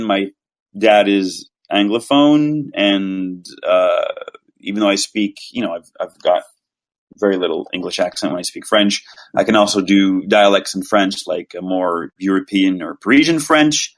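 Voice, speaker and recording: Quebec-raised actor, low-pitched, easygoing and rambling in a casual interview. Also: male voice, unhurried. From Quebec, Canada. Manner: relaxed, rambling, self-deprecating. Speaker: voice actor guest.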